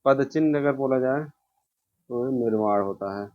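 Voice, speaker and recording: A man teaches in a medium-pitched voice. He goes through exam questions informally, posing each one and then explaining the answer himself. The recording is dull and lo-fi, with a muffled top end. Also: measured pace, darkish tone. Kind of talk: informal lesson walking through quiz questions.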